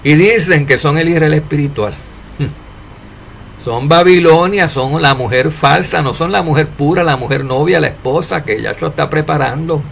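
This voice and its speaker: Male preacher, slightly high, gravelly voice, faint hiss behind. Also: normal speed. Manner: preachy, rhetorical questions, animated rises and drops in loudness. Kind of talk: informal sermon to followers.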